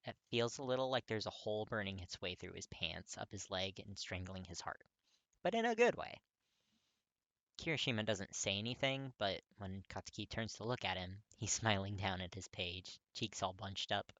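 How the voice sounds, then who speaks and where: A man narrates a story expressively. His voice sits fairly low but has a bright, crisp edge, and the recording is very dry. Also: animated, normal speed, no room sound. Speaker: audiobook-style fan fiction reader.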